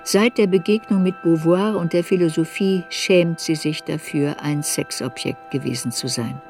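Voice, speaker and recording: A woman reading scripted literary narration for a radio play in a measured, reflective way. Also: low-pitched, darkish tone, faint music behind.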